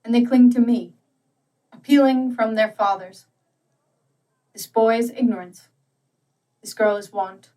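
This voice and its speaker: A grave, aging male ghost from a storybook, voiced by a woman. The delivery is slow and dramatic, sorrowful and stern in its warnings. It is recorded slightly away from the microphone. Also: a little high, mellow, recorded from a distance, natural voice, rough recording.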